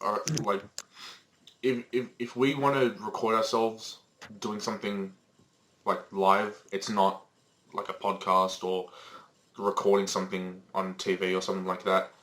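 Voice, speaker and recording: Teen male co-host, low-ish voice, rambling, sweary, fillers. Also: level tone, measured pace, fairly bright tone, poor audio. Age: teens. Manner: swears freely, rambling with many fillers and restarts. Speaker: podcast co-host. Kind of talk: casual chat. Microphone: Skype call recording.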